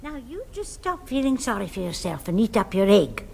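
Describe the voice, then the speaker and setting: An actress playing an austere, bossy older Scottish servant woman in a seventies radio drama, with a gravelly voice. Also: medium pitch, sing-song, normal speed, mellow, heavily acted. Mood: coaxing, bossy. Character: craggy, austere old Scots servant woman, bossy nanny. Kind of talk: 1970s radio drama acting.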